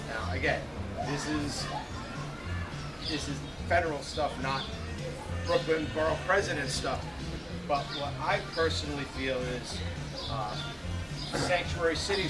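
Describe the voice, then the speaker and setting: A man answering questions as a political candidate at an informal meeting, speaking colloquially and repeating himself. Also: on the high side. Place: public meeting, recorded at a distance. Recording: far from the mic, loud music behind.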